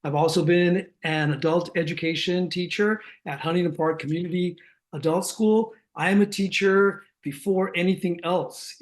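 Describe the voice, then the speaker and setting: Middle-aged male candidate, fairly high voice, measured and declarative stump speech. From Los Angeles area, United States. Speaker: school board candidate and teacher. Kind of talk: prepared campaign introduction speech.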